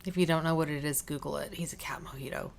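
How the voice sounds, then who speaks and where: A woman's voice: low-pitched, steady tone, fast, vocal fry. A woman vlogging casually to camera, chatty and informal with a tendency to wander off topic, slightly congested with a cough drop in her cheek. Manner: chatty, informal, prone to tangents. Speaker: beauty vlogger.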